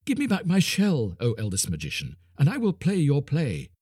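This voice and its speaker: A man's voice: on the high side, wildly swooping pitch, moderate pace, a little mellow, slightly rough, no echo, studio quality, voice pushed up, exaggerated voice. A man voicing a small, proud male crab creature in a storybook reading, insistent and boastful.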